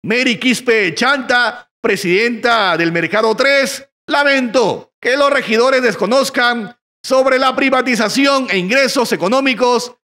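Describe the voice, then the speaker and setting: A man's voice: extremely high pitch, sharp tone. A man reading a scripted news voice-over as a reporter, in an emphatic announcer style.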